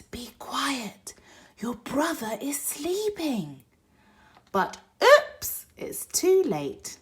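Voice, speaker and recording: A woman reading a picture book aloud for toddlers, expressive, moving between hushed whispers and loud exclaims. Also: medium pitch, slight background noise. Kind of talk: read-aloud bedtime story for toddlers.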